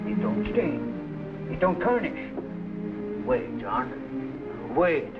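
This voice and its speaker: A rustic, excitable frontier workman hungry for gold, with a fairly high voice and folksy talk. It is an old adventure drama with dull sound and loud music underneath. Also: very slow, exaggerated voice. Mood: greedy excitement. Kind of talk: 1950s adventure drama acting.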